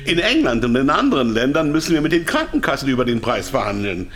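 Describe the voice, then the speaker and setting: An actor playing a captive pharmaceutical manager, hesitant and anxious to comply, in a German radio drama. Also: male voice, mid-range voice, very animated, fast talker, a bit bright. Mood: explaining.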